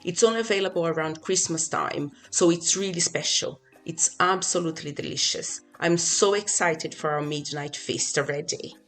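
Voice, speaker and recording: A woman with a strikingly low voice, from Brazil and a native Portuguese speaker. She speaks as a podcast guest in an even, read-aloud way. Faint music plays underneath her. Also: moderate pace, hardly any pauses. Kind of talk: scripted podcast conversation.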